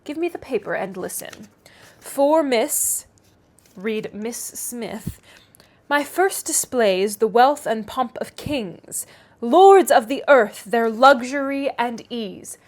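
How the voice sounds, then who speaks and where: A woman acts out a clever young lady of a classic novel, with a notably high voice. She is smug and self-assured, speaking precisely, with a knowing, condescending manner. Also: takes it slowly. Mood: brisk, knowing. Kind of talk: classic novel read aloud.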